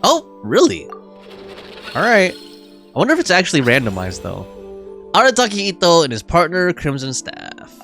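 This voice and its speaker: A woman gives casual playthrough commentary and reads game text aloud. Her voice is low but swings very widely in pitch, with clear game music behind it. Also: wildly swooping pitch, moderate pace, pauses often, fairly bright tone. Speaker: gaming streamer. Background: video game music.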